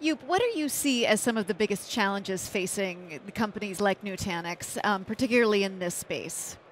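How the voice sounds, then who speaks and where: A woman's voice: mid-range voice, lively intonation, moderate pace, a little hiss or hum. A middle-aged woman hosting a live conference interview, asking probing conversational questions. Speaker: host. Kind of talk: live trade-show interview. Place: busy conference hall. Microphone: headset mic.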